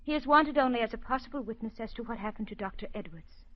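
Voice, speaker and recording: A woman's voice: a little high, quick pace, natural voice. A woman playing an earnest young psychiatrist. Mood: pleading. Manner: earnest, urgent, pleading. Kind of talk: 1940s radio acting. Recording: dull 1940s radio broadcast.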